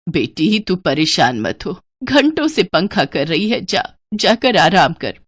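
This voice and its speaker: A woman voicing an elderly, ailing mother-in-law in a moral story, grateful and weary, with slow, plaintive speech. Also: lowish voice, sing-song, husky, dry recording, studio quality, exaggerated voice. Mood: weary, tender. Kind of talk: dramatised Hindi moral story.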